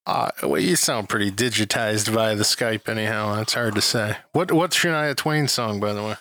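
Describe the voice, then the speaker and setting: A man's voice: fairly low pitch, normal speed, pushed and bright, no room sound. A man co-hosting a podcast, bantering casually in a dry, needling manner. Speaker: podcast co-host.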